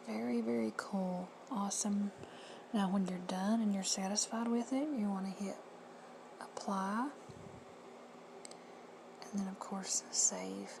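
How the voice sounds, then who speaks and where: A woman with a hoarse, husky voice giving plain, friendly step-by-step instructions in a casual how-to. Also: mid-range voice. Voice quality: whispery, husky. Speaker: reseller tutorial host. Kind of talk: casual screen-recorded how-to. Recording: noisy home recording.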